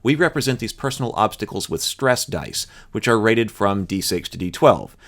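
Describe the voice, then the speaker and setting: Male host with a lowish voice for a rules walkthrough, mixing read-aloud text with informal commentary. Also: expressive, moderate pace, a bit bright. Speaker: host reading and explaining game rules. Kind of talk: reading rulebook aloud with casual commentary.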